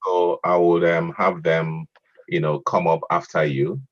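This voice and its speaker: A man moderating an online poetry event, reading a poem aloud in a measured way and hesitating with fillers between speakers. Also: deep voice, little pitch movement. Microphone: online video call audio. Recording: muffled lo-fi call audio.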